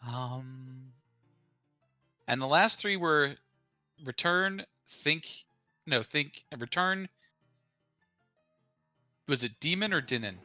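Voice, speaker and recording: A player in an online tabletop game speaks slowly and hesitantly, often joking. The voice is bright and sharp with a lively pitch. The sound is dry and close, with faint music behind. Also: no echo, muffled recording. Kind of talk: casual tabletop game chat.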